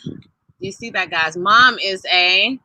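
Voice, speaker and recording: A woman's voice: mid-range voice, measured pace. A woman reading a children's book aloud expressively, breaking off to chat and put questions to the children listening. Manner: animated, asks the children questions as she reads. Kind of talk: expressive read-aloud with conversational asides to children.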